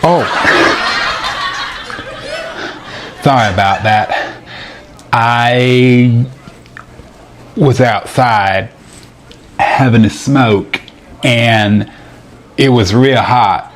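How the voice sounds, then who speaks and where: A man's voice: mid-range voice, drawn-out words, long pauses, slight background noise. A man playing a flustered, naive guy caught two-timing on a date, in a comedic dramatization. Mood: sheepish. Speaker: actor in a sitcom-style dramatization. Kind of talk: acted comedic date dialogue.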